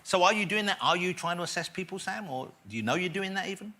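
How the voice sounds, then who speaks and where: A man's voice: sits high, lively intonation, fast talker, pushed and bright. A man hosting a talk show, teasing his guests and asking them questions. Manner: teasing, joking. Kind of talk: casual chat.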